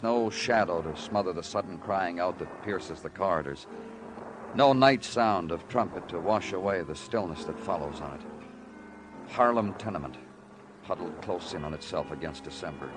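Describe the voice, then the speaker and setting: A terse, world-weary New York detective of 1950s radio drama. His low voice moves freely up and down, moody and poetic, on an old recording with music behind it. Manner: clipped questions, moody poetic narration.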